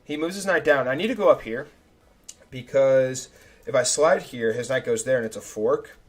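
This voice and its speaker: A young man, a chess streamer, talking casually and self-critically through his own game, his voice a little raspy. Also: mid-range voice, moderate pace. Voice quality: slightly raspy. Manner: chatty and self-critical, thinking aloud move by move. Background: faint background music.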